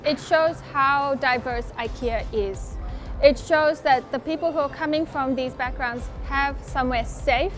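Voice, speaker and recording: A woman's voice: high voice, a little slow, fairly bright tone. A woman who works as a workplace buddy, speaking in a chatty interview testimony. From migrant to Australia. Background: music.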